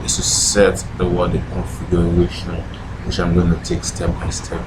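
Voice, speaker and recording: Low-pitched man teaching over background noise. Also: completely flat delivery, normal speed. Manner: asks and answers own questions with repeated "what". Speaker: instructor. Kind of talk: informal screen-recorded tech tutorial.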